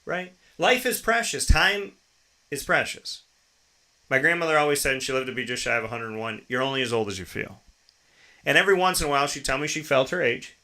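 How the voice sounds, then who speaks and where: A man's voice: mid-range voice, expressive, fairly quick, bright, forceful tone. An American man in his thirties hosting a show with a motivational personal monologue, speaking emphatically and repeating phrases and rhetorical questions. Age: 30s. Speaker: show host.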